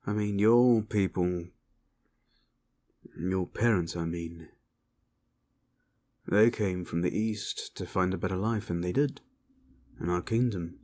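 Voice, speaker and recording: Fantasy audio drama: male actor as battle-worn knight captain, lowish voice, grave, formal, haunted. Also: dark tone. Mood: calm. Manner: solemn, measured, formal.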